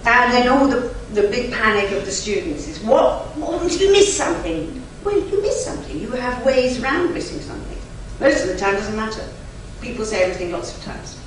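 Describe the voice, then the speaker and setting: A woman whose first language is German, a conference interpreter giving an emphatic, chatty lecture to a live audience with lively asides. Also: fairly high pitch, a little fast, few pauses. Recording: very echoey hall, from far off, faint noise.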